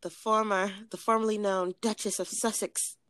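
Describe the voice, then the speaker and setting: A woman co-hosting a podcast in casual chat, blunt and profane, her voice a little raspy from cigars. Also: mid-range voice, little pitch movement, moderate pace, fairly bright tone, no room sound. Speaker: podcast co-host.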